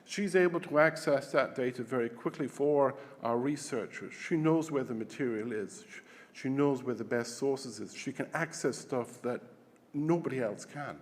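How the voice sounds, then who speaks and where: A man's voice: mid-range voice, moderate pace. Scottish man speaking in echoing hall. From Scotland. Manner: hesitant, repeats words while searching. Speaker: conference host giving opening speech. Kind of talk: formal welcome speech. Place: large conference hall. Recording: long hall reverb.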